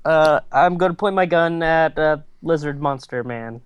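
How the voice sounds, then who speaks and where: A man's voice: fairly high pitch, moderate pace, clear, resonant tone, no room sound, lo-fi sound. A man playing a tabletop game, chatting casually and hesitantly as he works out what to do. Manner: hesitant, unsure, fumbling for decisions.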